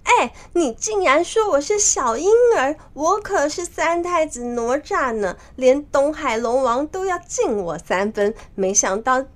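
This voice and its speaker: A woman's voice: high voice, expressive, measured pace, few pauses, pitched above the performer's own voice. A woman voicing a proud, fiery child warrior prince in a children's story, indignant and exclamatory. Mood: offended, furious.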